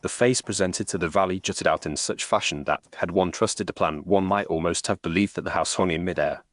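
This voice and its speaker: A man with a fairly low voice narrates an audiobook mystery. His delivery is measured and formal, literary in style, with a very dry studio-like sound. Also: fairly bright tone, no room sound. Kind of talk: scripted literary narration in first person.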